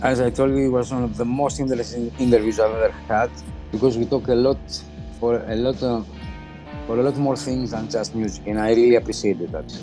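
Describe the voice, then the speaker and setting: A man's voice: mid-range voice, fairly even intonation, brisk, music in the background, poor audio. Man recalling an interview, earnest. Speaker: podcast guest. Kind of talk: recorded thank-you clip.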